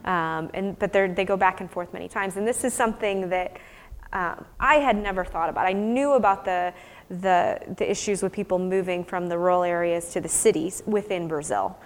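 American woman, lowish voice, hall reverb, academic question and answer, thoughtful explaining researcher. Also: moderate pace. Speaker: researcher presenting fieldwork and answering audience questions.

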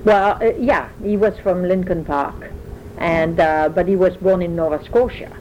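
Older french lady w/ accent, lowish voice, chatty and rambling, faint hiss behind. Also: measured pace, a little mellow, low-quality recording. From France. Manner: rambling, conversational, many restarts. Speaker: interviewee. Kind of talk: oral history interview.

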